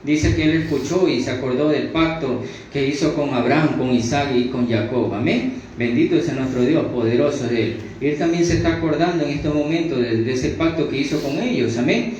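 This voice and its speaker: A man's voice: mid-range voice, lively intonation, a little mellow. A man with a Latin American Spanish accent teaching the Bible in an extemporaneous sermon, repeating himself and punctuating his phrases with amen. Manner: punctuates phrases with amen, repetitive, halting restarts. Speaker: religious teacher, preacher. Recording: very echoey room, distant mic, dull sound, faint noise.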